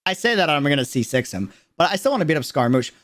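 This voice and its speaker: Man, livestream reaction, higher voice, swooping animated delivery, emphatic slang, repeated phrases. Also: fast. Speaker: streamer reacting to a game character trailer. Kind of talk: casual livestream reaction commentary.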